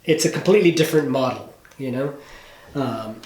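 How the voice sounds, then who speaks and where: A man's voice: mid-range voice, fairly quick, darkish tone, slight background noise. A man working as a business coach, talking someone through ideas casually and emphatically, often checking that they follow. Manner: explanatory, emphatic with rhetorical check-ins. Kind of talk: casual coaching phone call. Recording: roomy, echoey room sound.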